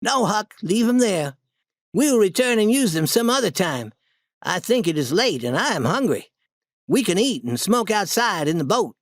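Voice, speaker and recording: A storybook reader voicing an eager, bold boy adventurer in a raised, excited voice. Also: mid-range voice, moderate pace, dry recording, studio quality, voice pushed up. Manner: excited, insistent.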